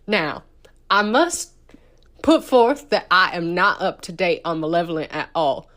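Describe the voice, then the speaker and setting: A woman's voice: fairly low pitch, sing-song, unhurried, a bit bright. A woman voicing a formal, mock-rousing man who rallies voters like brothers in arms in a comic message. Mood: mock-solemn rallying.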